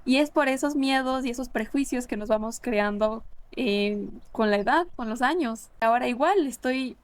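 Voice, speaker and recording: A young woman from Ecuador talks as an interview guest, thoughtful and earnest, often pausing to restart a phrase. Her voice sits somewhat high. She sounds like a linguist sharing her own experience. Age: 20s. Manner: reflective, earnest, many restarts and fillers. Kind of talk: casual interview conversation.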